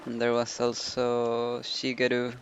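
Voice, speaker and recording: A man's voice: medium pitch, monotone, slow pace, dull audio. A man giving casual commentary as he streams a game. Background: game music.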